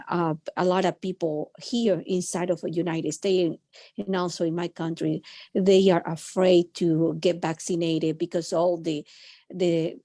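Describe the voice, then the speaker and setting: Brief: woman from El Salvador, mellow voice, dry sound, hesitant audience question. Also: on the low side, dry recording. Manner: halting, searching for words. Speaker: audience member asking questions.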